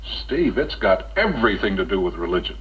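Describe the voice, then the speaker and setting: Casting: kindly chaplain, husky and expressive, earnest counsel, dull old film sound. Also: male voice, low voice, full character voice. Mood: earnest, devout. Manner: measured, gently probing, earnest. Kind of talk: 1960s educational film acting.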